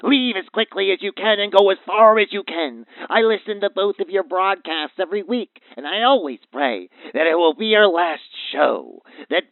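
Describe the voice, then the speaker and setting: Over a thin, tinny phone line comes a grave, foreboding paranormal scholar , acted by a man. His fairly high voice moves in lively swings. He words everything formally and ominously, as a radio drama expert guest. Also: dry recording. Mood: pleading, despairing. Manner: formal, ominous, deliberate wording. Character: grave, foreboding male doctor of paranormal phenomena. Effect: phone line filter. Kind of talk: radio play acting.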